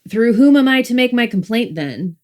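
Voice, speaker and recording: A woman's voice: fairly high pitch, lively intonation, normal speed, darkish tone, lightly acted. A woman acting a haughty, petulant mother who complains theatrically in a full-cast audiobook. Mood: indignant. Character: haughty, petulant mother devoted to her music.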